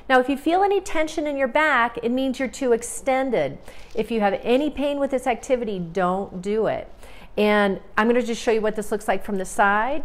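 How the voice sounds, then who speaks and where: A woman in her forties teaches simple core exercises in a small home studio. She speaks in a calm, instructive way and gives steady breathing cues. A lapel mic picks up her voice up close. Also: medium pitch. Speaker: movement therapist. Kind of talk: exercise demonstration.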